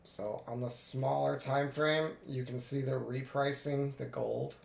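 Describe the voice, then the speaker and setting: A man getting over a cold, a little congested, narrating his live trades in a relaxed way with many fillers. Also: mid-range voice, unhurried, gravelly, roomy sound. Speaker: futures day trader streaming live. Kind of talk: live trading commentary.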